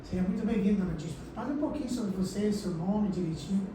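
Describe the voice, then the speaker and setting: A woman's voice: medium pitch, fast talker, distant mic. A woman hosting an interview, affirming her guest often. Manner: affirming, many "sim, sim" backchannels. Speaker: interviewer, host. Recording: echoey room, recorded from afar, noisy.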